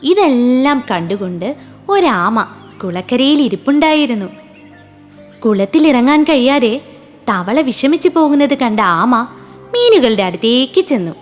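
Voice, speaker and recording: Female storyteller for children's tales: high voice, lively intonation, scripted delivery. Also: measured pace, soft background music. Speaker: narrator.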